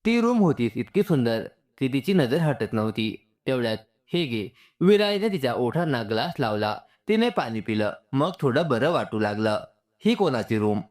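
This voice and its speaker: A man narrating a scripted emotional story, voicing the dialogue in an expressive way. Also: mid-range voice, moderate pace, few pauses.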